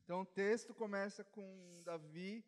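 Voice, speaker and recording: A man interpreting a sermon live, turning each phrase over quickly and fluently. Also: high-pitched, fairly even intonation. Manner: quick, fluent rendering with fillers. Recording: long hall reverb.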